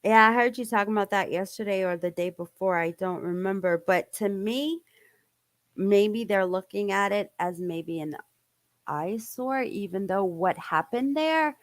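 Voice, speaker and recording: A woman hosting a stream in casual chat, with an informal, halting way of talking. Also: medium pitch, no echo. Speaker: host of a true-crime stream.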